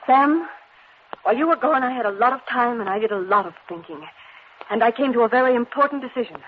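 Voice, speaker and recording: A woman's voice: fairly high pitch, expressive, quick pace, close to an everyday voice. Actress as anguished, emotional woman in love. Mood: anguished resolve. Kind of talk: 1940s radio acting. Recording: tinny, noisy 1940s radio recording.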